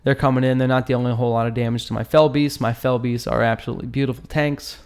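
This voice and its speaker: Guy doing casual game commentary, normal pitch, slangy and jokey, breaking down battle stuff for his channel. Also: little pitch movement, fast talker, a little mellow, clear, resonant tone. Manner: informal, jokey, slangy asides. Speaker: host of a gaming channel. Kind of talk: casual gameplay commentary, analysing a battle report.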